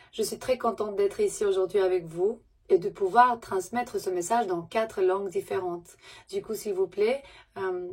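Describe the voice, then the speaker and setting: A woman giving a gentle, soothing spiritual message to her viewers in a language that is not her first, pausing often and correcting herself. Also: mid-range voice, slightly rough. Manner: gentle, soothing, halting with pauses and self-corrections; speaks French as a second language. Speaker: spiritual messenger.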